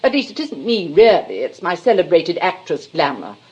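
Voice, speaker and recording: A woman's voice: on the low side, sing-song, moderate pace, darkish tone, dull audio. An actress playing a vain, vague middle-aged British stage star and mother in a 1920s drawing-room comedy, grandly dramatic and airy. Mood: gushing. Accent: British English.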